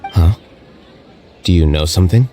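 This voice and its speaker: Brief: coolly rational male scholar for a game, low dark voice, measured, matter-of-fact delivery. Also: faint music behind. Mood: calm, analytical.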